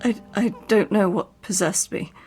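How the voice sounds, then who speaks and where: An actress playing a repressed, long-suffering wife with formal diction in an emotional old-time radio drama style. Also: female voice, medium pitch, normal speed, a little mellow, breathy edge, soft background music. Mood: shaken, apologetic.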